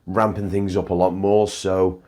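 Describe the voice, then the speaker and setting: A man's voice: low voice, level tone, unhurried, a little mellow. A young man, talking casually and rambling a bit as he vlogs over drone footage. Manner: chatty, rambling with restarts. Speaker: vlogger.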